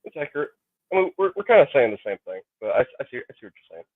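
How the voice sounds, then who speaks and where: A man who writes comics, talking in a low-key, monotone way during a casual chat. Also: rapid-fire, breathy edge, dry recording. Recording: dry, tinny phone-like line.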